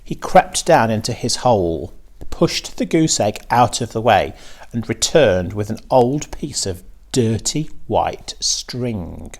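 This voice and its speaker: A man narrating a children's story aloud in an expressive, deliberate and measured manner. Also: fairly low pitch, vocal fry. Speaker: teacher reading aloud.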